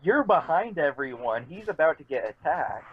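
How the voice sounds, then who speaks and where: A man's voice: on the high side, big pitch swings, moderate pace, phone-quality sound. Casual male gamer on faintly noisy mic. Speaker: player in a roleplaying game. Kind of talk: casual game chat.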